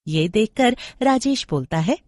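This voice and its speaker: A woman's voice: fairly high pitch, expressive, unhurried, a little mellow, no room sound. A woman narrating a scripted horror story as a storyteller.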